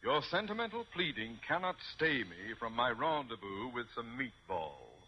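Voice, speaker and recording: An actor playing a pompous, bossy school principal with an orotund, theatrical delivery in a radio sitcom. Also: male voice, medium pitch, sing-song, normal speed, husky. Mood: pompous, dismissive. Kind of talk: 1950s American radio sitcom acting. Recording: dull old radio recording, faint noise.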